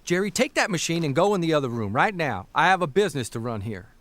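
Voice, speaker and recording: An actor playing a harried, wry 1930s newspaperman, with a folksy, blustery, halting manner in a period radio drama. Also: a little high, very animated, fairly bright tone, no echo. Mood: exasperated.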